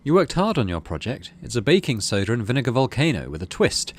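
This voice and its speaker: A man's voice: mid-range voice, sing-song, quick pace, slight background noise. A man giving casual let's play commentary, reading story text aloud and murmuring to himself as he decides. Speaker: gaming channel host.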